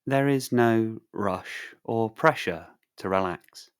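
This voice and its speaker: A man leads a guided sleep meditation in a low voice. His delivery is very slow and measured, leaving long pauses between phrases. The manner is calm and soothing throughout. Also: clear, resonant tone.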